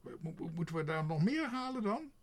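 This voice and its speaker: A man's voice: sits high, animated, moderate pace, creaky, pitched above the performer's own voice, heavily acted. A man voicing a blustering, easily offended crow in a storybook, speaking sharply. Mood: angry, huffy. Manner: sharp, loud, blustering, stammers when worked up. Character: gruff, indignant, easily offended male crow.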